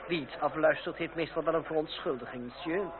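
A man acting a young revolutionary deputy and swordsman in an old radio drama. He speaks fairly high, with icy, ironic courtesy. The sound is tinny and narrow, with a crowd murmuring behind him. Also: brisk, close to an everyday voice. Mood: mocking. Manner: formal, coolly mocking, ironic politeness. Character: sardonic young revolutionary deputy and deadly fencing master. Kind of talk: period radio play acting.

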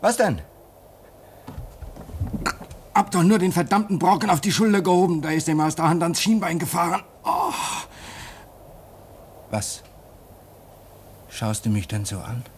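An actor playing a gruff, defensive polar crewman with an injured leg in a radio play, curt and irritable. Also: on the high side, lively intonation, moderate pace, long pauses, a little hiss or hum, lightly acted. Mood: defensive, pained.

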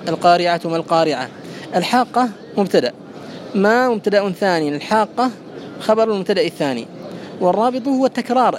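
A man teaching a classical grammar lesson, methodically repeating and parsing each phrase for his students. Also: high-pitched.

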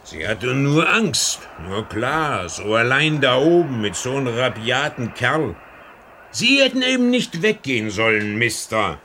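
An actor playing a chatty old lighthouse keeper in a radio crime drama, with a folksy, halting way of talking. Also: male voice, mid-range voice, big pitch swings, bright, forceful tone. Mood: confiding. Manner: folksy, hesitant, repeating words. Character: old lighthouse keeper and seaman, bluff, chatty, whisky-drinking. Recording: old radio play with faint background noise.

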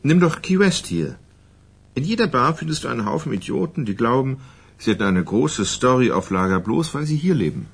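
An actor playing a cynical, blunt small-town newspaper editor in a radio drama, with a husky voice and sardonic, casual manner. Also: male voice, medium pitch, lively intonation, a little fast. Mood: scornful. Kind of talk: German radio crime drama acting.

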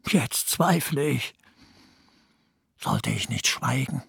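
Weary, gravelly old man of letters. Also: mid-range voice, wildly swooping pitch, takes it slowly, a bit bright, no room sound, clean studio sound, exaggerated voice. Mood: doubting, brooding. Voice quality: husky, creaky. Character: weary old celebrated male writer, despondent and doubting. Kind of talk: radio drama inner monologue.

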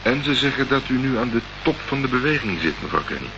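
A man's voice: medium pitch, big pitch swings, fast, close to an everyday voice. An actor playing a wry, probing investigator in a radio crime play, polite but pointed in his questions. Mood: probing, dry. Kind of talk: Dutch radio play acting. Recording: dull, noisy old radio play recording.

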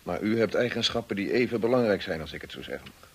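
A loyal, discreet estate manager in a radio play, with a low, husky voice. He speaks courteously and with measured reticence, kindly at heart. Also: lively intonation. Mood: kind, reassuring. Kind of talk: Dutch radio drama acting.

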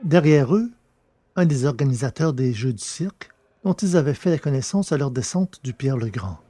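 A man's voice: medium pitch, expressive, moderate pace, warm tone, no echo. A man reading scripted science-fiction story narration.

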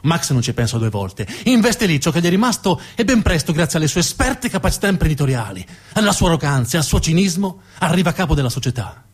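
A male stage storyteller delivers a dramatic narrative monologue. His husky voice is highly animated in pitch, and he leans on repetition for emphasis. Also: fast, hardly any pauses.

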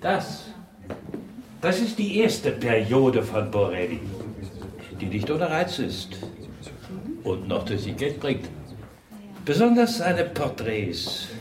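An actor playing an effusive, gushing art connoisseur at a gallery in a radio crime drama. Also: male voice, mid-range voice, wildly swooping pitch, slow pace, long pauses. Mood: admiring. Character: effusive art connoisseur guiding visitors around a gallery opening. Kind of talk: 1980s German radio crime drama dialogue. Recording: echoey, distant, noisy busy room.

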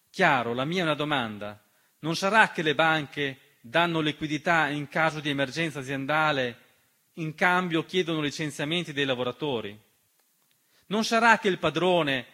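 A man's voice: a little high, pushed and bright. A man delivering a theatrical political monologue in a rhetorical, impassioned manner, speaking directly to the listener. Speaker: stage monologist. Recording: piano music behind the voice. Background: piano accompaniment.

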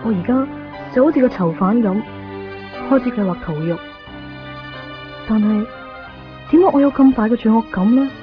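A torn young woman in love played by a female actor speaks in a high, subdued voice with a Hong Kong Cantonese accent. She is hesitant and guilt-laden, in a dull radio-drama recording with music behind. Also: lively intonation, moderate pace, pauses often, lightly acted. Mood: torn, guilty. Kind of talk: radio drama dialogue and inner monologue.

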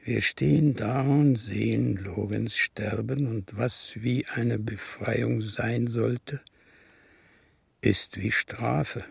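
A bitter old communist man in a radio play, his voice deeply gravelly and very husky. He speaks slowly, with solemn, halting weight, brooding over his guilt. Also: fairly even intonation, no echo, full character voice. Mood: brooding, remorseful. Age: older. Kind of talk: radio drama acting with monologue.

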